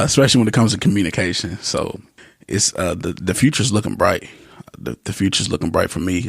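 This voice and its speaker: Gravelly-voiced young man, easygoing. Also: deep voice, a bit bright, no echo, studio quality. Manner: easygoing, many fillers and restarts. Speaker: podcast guest. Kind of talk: casual interview talk.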